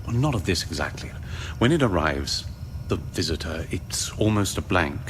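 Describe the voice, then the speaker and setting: An actor playing a blunt, cynical scientist in a radio drama, with a sardonic, argumentative way of talking. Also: male voice, sits low, very animated, normal speed, slight background noise. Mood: guarded. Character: cynical, blunt male scientist, world-weary realist.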